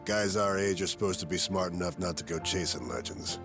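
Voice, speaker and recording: An actor playing a gruff, weathered middle-aged yakuza in a video game, wry and world-weary, with dry, clipped phrasing. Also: male voice, deep voice, brisk, over music, close to an everyday voice. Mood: wistful. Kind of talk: video game cutscene acting.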